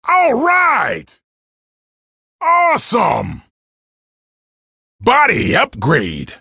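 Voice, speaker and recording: A hyped female arcade race announcer, with a gravelly, husky edge. She punches out bright, sharp exclamations with wildly swinging pitch in a dry studio. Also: dry recording, heavily acted. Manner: energetic shouted exclamations. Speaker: game announcer. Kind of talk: excited arcade racing callouts.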